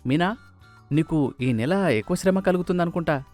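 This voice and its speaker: Guy putting on a touchy defensive husband in a telugu cartoon, raised pitch, indignant, bit of music. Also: animated, moderate pace, darkish tone, close to an everyday voice. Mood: wry. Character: irritable husband, defensive and argumentative. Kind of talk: animated moral story dubbing.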